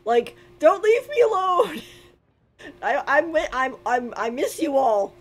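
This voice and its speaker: Giggly streamer lady chatting over her game, mimics the characters, high pitched. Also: big pitch swings, slow pace. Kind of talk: casual game commentary.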